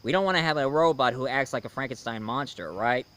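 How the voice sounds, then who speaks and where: A man's voice: medium pitch, fast talker, a bit bright. A man giving a casual, rambling film review, speaking emphatically and opinionatedly straight to his viewers. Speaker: reviewer, host.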